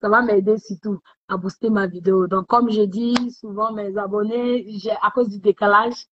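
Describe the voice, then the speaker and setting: A woman hosting a casual motivational livestream, chatting warmly and repeating herself, with many fillers. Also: medium pitch, fairly even intonation, moderate pace, muffled recording. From West Africa. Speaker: livestream host.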